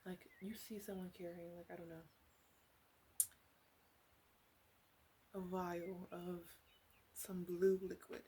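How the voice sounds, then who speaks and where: A woman playing a flirty, blunt witch in an ASMR roleplay, speaking softly and hesitantly and often dropping into whispers. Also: lowish voice, level tone, a little slow, a little hiss or hum, close to an everyday voice. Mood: soft, chatty.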